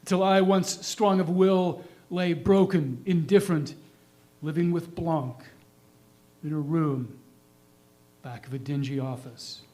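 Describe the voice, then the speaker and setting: A man playing a proud dead small-town gentleman, reciting an epitaph in a measured, declamatory reader's theatre style. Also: on the high side. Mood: solemn, forlorn. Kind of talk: dramatic monologue, staged poetry reading.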